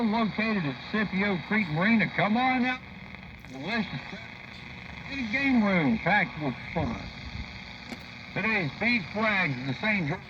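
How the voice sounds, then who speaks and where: High-voiced radio announcer through an old set. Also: male voice, dull audio, noisy background.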